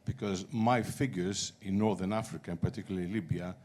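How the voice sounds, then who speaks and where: A man's voice: deep voice, steady tone, fairly quick, darkish tone. A man asking a question from the floor of a conference hall, impassioned and insistent. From Greece. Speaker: audience member asking a question. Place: large conference auditorium.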